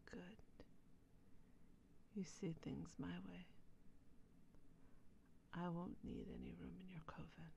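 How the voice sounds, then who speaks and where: Vampiress for ASMR role play, played by a woman: brooding, proud, vengeful, solemn; gravelly fry, hushed delivery. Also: fairly low pitch, level tone, highs cut off. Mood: cold, vengeful. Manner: slow, breathy, solemn, formal delivery.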